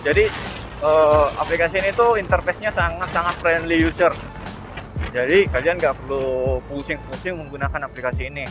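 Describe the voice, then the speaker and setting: A man's voice: on the high side, normal speed, music in the background. A man giving a casual vlog explanation, hesitant and often correcting himself. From Indonesia. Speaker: motovlogger, host. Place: on a motorbike, outdoors.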